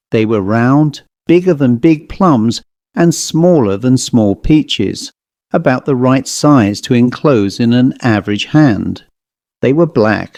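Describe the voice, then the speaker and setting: A male narrator reads dark fiction at a slow, deliberate pace. His delivery is theatrical and literary, with a medium pitch and a dry, close sound. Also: dry recording. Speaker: audiobook narrator. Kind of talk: dramatic horror story narration.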